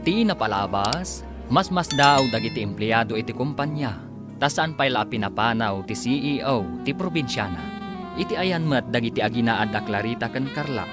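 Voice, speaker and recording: A man's voice: medium pitch, animated, moderate pace, music in the background. A man announcing an Ilocano radio drama with dramatic scripted narration. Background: loud musical theme.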